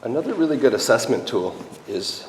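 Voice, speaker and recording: A middle-aged man teaching massage, chatty and coaching with quick instructions. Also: medium pitch, big pitch swings, darkish tone. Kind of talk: hands-on teaching demonstration. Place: large echoey teaching room. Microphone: far handheld camera. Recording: echoey hall, far from the camera, noisy.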